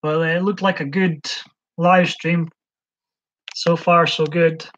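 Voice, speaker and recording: A man's voice: on the high side, little pitch movement, slow pace, fairly bright tone. A man hosting a casual live stream, chatting hesitantly with lots of ums as he answers viewers' comments. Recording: dull lo-fi stream audio.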